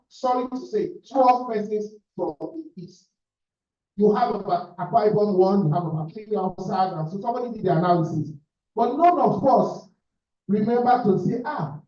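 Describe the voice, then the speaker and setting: A man's voice: high-pitched, mellow. A man from Nigeria, a visiting club president, giving assertive remarks at a meeting. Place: meeting room. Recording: echoey room, distant dull sound.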